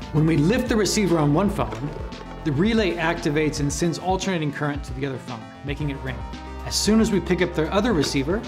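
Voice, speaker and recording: Higher-voiced man presenting a DIY build. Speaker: host of an electronics build channel. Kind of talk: scripted presenting to camera, explaining a DIY build. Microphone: lapel microphone.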